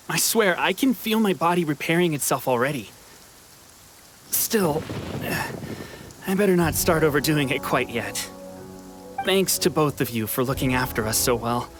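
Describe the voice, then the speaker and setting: An actor playing a good-natured, sensible wounded soldier in a video game, gently teasing and mildly sceptical. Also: male voice, fairly high pitch, expressive, normal speed, lightly acted. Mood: relieved, grateful. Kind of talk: video game character dialogue.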